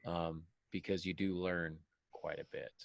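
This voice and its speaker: A man's voice: low voice, little pitch movement, unhurried, creaky, no room sound, highs cut off. A man talking casually as a podcast host, with a self-deprecating, joking manner. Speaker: podcast host and fiction writer. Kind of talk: casual podcast discussion of writing tips.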